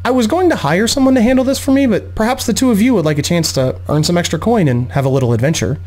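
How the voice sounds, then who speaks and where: A man voicing a gracious, composed noblewoman mother with a measured, genteel manner in a fantasy audiobook. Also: sits high, expressive, a little mellow, pitched above the performer's own voice.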